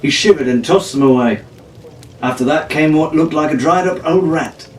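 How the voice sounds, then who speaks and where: A man's voice: a little high, moderate pace, a little hiss or hum. A man reading a pirate story aloud in a playful, theatrical, drawling way. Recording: echoey room from far off.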